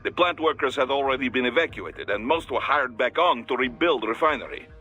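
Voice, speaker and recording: An actor playing a gruff, businesslike Russian security boss in a video game, with a Russian accent. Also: male voice, medium pitch, animated, pushed and bright, soft background music. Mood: matter-of-fact. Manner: businesslike, measured.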